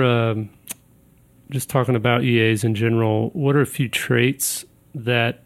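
A man hosting a conversational podcast interview, putting his questions informally and a bit haltingly. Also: medium pitch, creaky.

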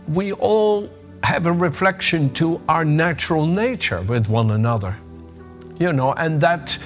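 An older preacher with a Dutch accent and a trace of American speaks at a middle pitch. He talks in a relaxed, conversational way, often stopping to restart a phrase while he searches for a word. Faint music plays underneath him. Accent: Dutch with a bit of American. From Netherlands. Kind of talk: devotional sermon.

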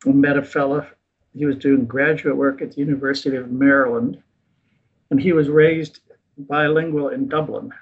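An older man talks with a husky, slightly rough voice, easygoing and ready to laugh at himself. He tells his story unhurried, as a guest in conversation. The recording sounds dull and lo-fi. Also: mid-range voice. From United States. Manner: relaxed, self-deprecating, chuckles at himself. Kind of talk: casual interview storytelling.

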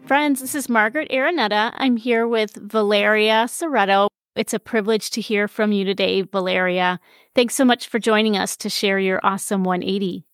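A woman hosting a faith podcast, narrating an introduction and then asking friendly, measured interview questions. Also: medium pitch, steady tone, no echo, studio quality, soft background music.

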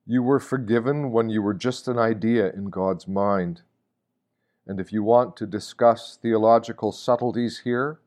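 A man reading a scripted devotional meditation like a sermon, solemn and deliberate, posing rhetorical questions. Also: medium pitch, a little mellow. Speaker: reader of a Lenten meditation.

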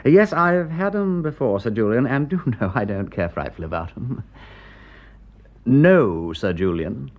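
An actor playing a genial, nervy aristocratic gentleman detective in a radio drama, with an upper-class English accent and breezy, old-fashioned slang. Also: mid-range voice, animated, a little slow, lo-fi sound. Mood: nervy, polite.

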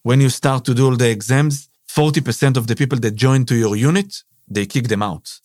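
A man's voice: mid-range voice, a little fast, darkish tone, dry recording, clean studio sound. Israeli-accented man hosting a podcast. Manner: non-native phrasing, frequent "uh" and "basically". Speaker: podcast host and business owner. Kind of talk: casual monologue.

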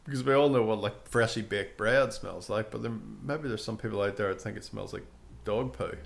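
Gravelly-voiced podcast co-host. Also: male voice, lowish voice, a little fast. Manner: explains, agrees often with uh-huh. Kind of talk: casual chat. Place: podcast studio.

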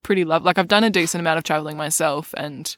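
A speaker from Melbourne, co-hosting a podcast in relaxed, agreeable casual chat. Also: mid-range voice, fast talker, no room sound, clean studio sound. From Melbourne, Australia. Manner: relaxed, agreeable back-channel talk. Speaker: podcast co-host.

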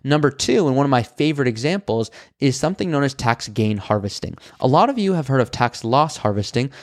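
A man working as a financial planner, explaining retirement tax strategy in a brisk, conversational way and voicing the questions he imagines listeners asking. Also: medium pitch, dry recording, clean studio sound.

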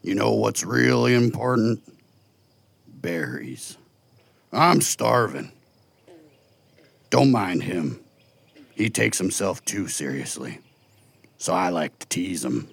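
Huge jovial furry creature, audio drama: slow, deeply creaky delivery, swooping playfully. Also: male voice, big pitch swings, dry recording, exaggerated voice. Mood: playful, teasing. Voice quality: gravelly, husky. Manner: unhurried, booming, playfully teasing. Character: huge furry beast, teasing, jovial council caretaker.

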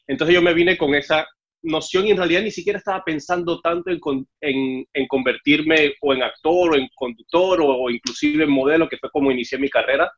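A man's voice: on the high side, a little fast, a bit bright. A young Cuban-born man with a Mexican Spanish accent, telling his story as an interview guest and acting out the dialogue. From Cuba. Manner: storytelling, acts out dialogue with raised voice. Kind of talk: casual interview chat. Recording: dull muffled audio.

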